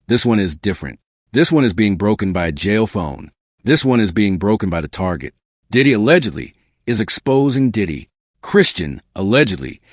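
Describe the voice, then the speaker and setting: Low-voiced man, dramatic and widely swooping delivery. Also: no room sound, clean studio sound. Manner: emphatic, rhetorical repetition with dramatic pauses; addresses the listener directly. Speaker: host of an online celebrity scandal commentary show. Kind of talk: scripted dramatic narration, commentary.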